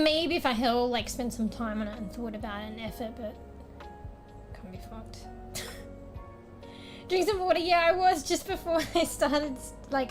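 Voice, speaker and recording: A young woman streamer, a little raspy today, chatting casually at home into a desk mic, rambling, laughing a lot and swearing now and then. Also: sits high. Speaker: game streamer. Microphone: desk microphone with fan blowing on it. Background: soft background music.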